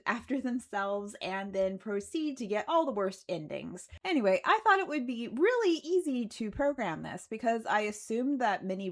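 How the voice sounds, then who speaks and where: A woman narrating a casual explanatory video as its creator, chatty and conversational, with a wry, self-deprecating way of talking. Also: mid-range voice. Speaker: video creator narrating her game development progress.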